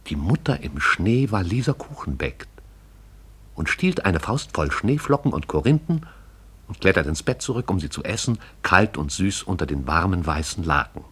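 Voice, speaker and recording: A deep, husky man narrates a radio play. His delivery is lyrical and measured. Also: fairly bright tone. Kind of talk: poetic radio drama narration.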